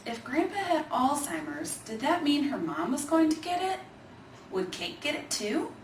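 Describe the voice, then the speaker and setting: A woman's voice: high voice, big pitch swings, moderate pace. A woman narrating a children's story, reading from a script. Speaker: storybook reader. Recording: roomy, distant mic, faint noise.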